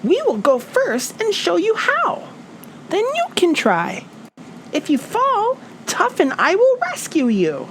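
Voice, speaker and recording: Lady doing a tiny chirpy girl bird for storytime, super high, eager and encouraging, faint noise behind. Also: wildly swooping pitch, takes it slowly, full character voice.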